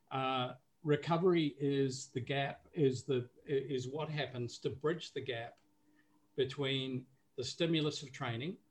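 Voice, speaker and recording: Older New Zealand man, halting. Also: mid-range voice, slight background noise, low-quality recording. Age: 60s. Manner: halting, many fillers and restarts. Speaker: guest, recovery business owner and coach. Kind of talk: casual podcast interview.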